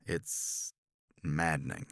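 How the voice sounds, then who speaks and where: A man acting a jealous, frustrated academic in an intimate romance audio roleplay, speaking in a halting, intense manner. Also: rumbling low, steady tone, fairly bright tone, no echo, clean studio sound, exaggerated voice. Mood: bitter, hushed. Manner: halting, intense, slipping into breathy near-whispers. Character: jealous, frustrated male academic confessing to his assistant.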